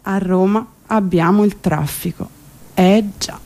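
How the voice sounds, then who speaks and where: A woman's voice: mid-range voice, measured pace, heavy background noise. A woman performing a comic theatrical monologue, colloquial, wry and emphatic. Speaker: stage actress and monologist.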